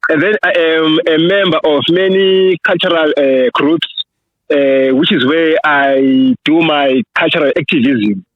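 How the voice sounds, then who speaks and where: Zimbabwean guy answering questions over a tinny phone line, slightly high voice, lots of uhs. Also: steady tone, no room sound. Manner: halting, many fillers and restarts. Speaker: author and researcher, podcast guest. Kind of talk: conversational interview answers.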